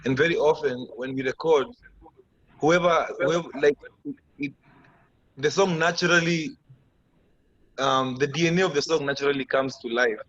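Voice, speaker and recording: Husky Kenyan man in his thirties. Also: fairly high pitch, fairly bright tone. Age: 30s. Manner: reflective, measured explanations. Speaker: musician guest. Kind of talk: video call interview. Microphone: video call. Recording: dull lo-fi video call audio.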